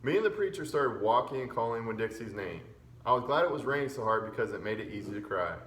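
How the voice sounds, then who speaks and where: A man's voice: mid-range voice, expressive, quick pace, a bit bright. A man, a fourth grade teacher, reading a children's chapter book aloud expressively. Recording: roomy echo with background noise.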